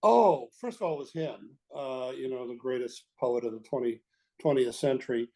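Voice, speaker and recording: Older man with a mellow voice. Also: medium pitch, normal speed. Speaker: guest, veteran radio executive. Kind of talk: reminiscing interview talk.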